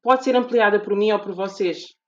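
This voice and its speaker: A woman with a European Portuguese accent speaks as a guest on a podcast, thoughtful and earnest, restarting phrases as she works through her ideas. Her mid-pitched voice comes through a dull, muffled lo-fi recording. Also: moderate pace. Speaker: guest author. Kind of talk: podcast interview. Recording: dull lo-fi audio.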